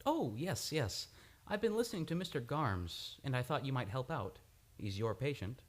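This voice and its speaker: A man playing a youthful, charming but edgy chief doctor, courteous and expressive, in an old-time radio play. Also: medium pitch, normal speed. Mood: thoughtful.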